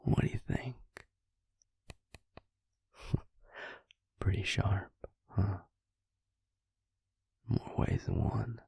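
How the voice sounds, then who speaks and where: A man playing a devious, smug male vampire in an intimate audio roleplay, taunting his victim slowly in a breathy, gravelly whisper. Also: fairly low pitch, fairly even intonation, warm tone, no room sound, exaggerated voice. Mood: sly, taunting. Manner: slow, taunting, self-satisfied.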